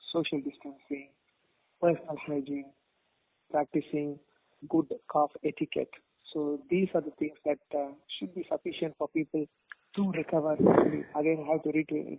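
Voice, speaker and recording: Man on a tinny phone line, creaky voice. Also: fairly high pitch. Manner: measured, halting, formal explanations. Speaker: doctor, GP being interviewed. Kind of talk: phone interview, explanatory answers. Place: phone call. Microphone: telephone.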